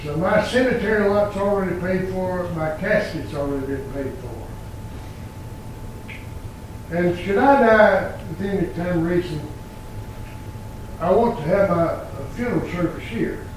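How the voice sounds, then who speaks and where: An old man in his eighties preaching a sermon in a church, quoting scripture in slow, emphatic phrases. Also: high voice, animated, noisy background. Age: 80s. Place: church sanctuary. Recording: very echoey church from far off.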